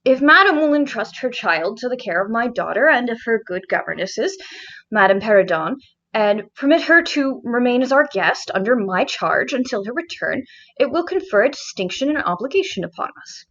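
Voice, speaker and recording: A woman voicing a courteous, earnest gentleman father in a storybook reading, formal, gallant and measured. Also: a little high, sing-song, dull audio, heavily acted.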